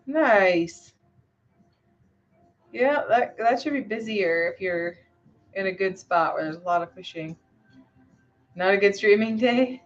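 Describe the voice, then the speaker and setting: A woman's voice: medium pitch, lively intonation, takes it slowly, pauses often, highs cut off, soft background music. Rambling woman chatting while she paints. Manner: rambling, hesitant, many fillers and restarts. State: slightly hoarse after losing her voice. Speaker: lure painter streaming live. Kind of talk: casual live-stream chat while working.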